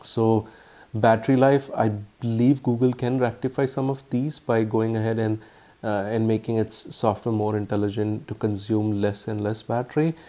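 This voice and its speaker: A man with an Indian accent giving a casual, halting phone review full of ums and uhs. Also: on the low side, flat delivery, warm tone. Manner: earnest, explanatory. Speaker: tech reviewer.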